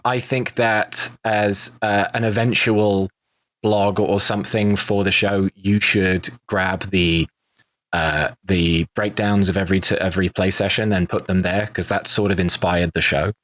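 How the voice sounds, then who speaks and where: British man, low register, relaxed podcast chat among castmates, very dry recording. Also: unhurried, dry recording. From Britain. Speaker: podcast cast member, actor and producer. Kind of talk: casual podcast round-table chat.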